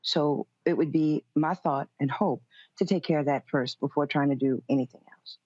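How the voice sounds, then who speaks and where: A woman speaking as a political commentator in a live interview, measured and careful in how she answers. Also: deep voice, a little mellow, breathy edge, dry recording, muffled recording.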